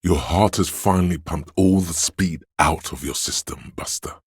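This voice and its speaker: An actor voicing a seductive, hedonistic inner voice of addiction and lust in a video game, savoring words in a husky, insinuating way. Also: male voice, normal speed, no echo, studio quality. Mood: urging, craving. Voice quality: deep, gravelly, husky. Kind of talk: video game character narration.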